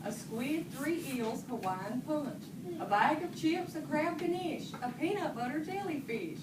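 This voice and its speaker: A woman's voice: high voice, lively intonation, a little slow, voice pushed up. A woman reading aloud as a doting, scolding monster mother from a storybook, fussy and nagging, in a distant classroom recording. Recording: distant, echoey classroom phone recording with heavy noise.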